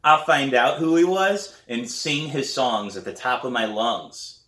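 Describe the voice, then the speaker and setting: A man voicing an excitable, insistent young boy, a pestering little brother in a storybook reading. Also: mid-range voice, measured pace, roomy sound, pitched above the performer's own voice, close to an everyday voice. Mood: stubborn. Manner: loud, insistent, playful.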